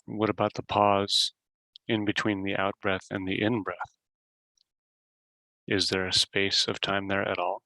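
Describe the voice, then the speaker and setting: A man leading a guided meditation as host, giving simple instructions in short phrases with long pauses. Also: lowish voice, flat delivery, sharp tone, dry recording, poor audio.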